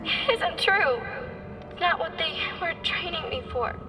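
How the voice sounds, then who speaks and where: A woman's voice: very high-pitched, lively intonation, a little slow, distant mic. An actress voicing a frightened, defiant young schoolgirl who protests plaintively in a radio drama. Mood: upset, defiant. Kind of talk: vintage-style dramatic radio acting.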